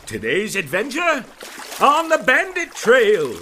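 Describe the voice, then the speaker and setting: A man's voice: very high voice, wildly swooping pitch, takes it slowly, bright, forceful tone, soft background music. A man narrating like a bombastic old-time radio western announcer, theatrical and dramatic.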